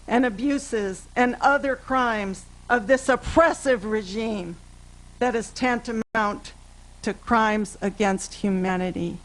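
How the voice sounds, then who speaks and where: A woman gives a prepared speech at a rally. Her voice sits a little high, and she speaks very slowly and earnestly, in declarative statements. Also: rough recording. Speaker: activist speaker. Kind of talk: speech read from paper at a rally. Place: indoor event stage with curtain. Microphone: microphone on a stand.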